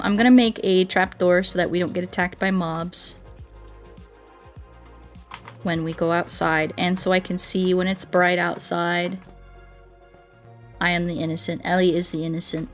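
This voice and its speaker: A woman's voice: on the low side, flat delivery, measured pace, long pauses. Chatty, playful woman streaming a game. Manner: playful, rambling, talks to chat. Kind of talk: casual livestream chat while playing a game. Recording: music behind the voice. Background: music.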